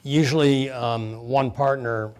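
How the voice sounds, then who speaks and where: A man speaking as an expert on a panel, halting with many pauses and fillers, then blunt in his points. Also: mid-range voice, fairly even intonation, a little mellow, clear, resonant tone. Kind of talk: panel discussion.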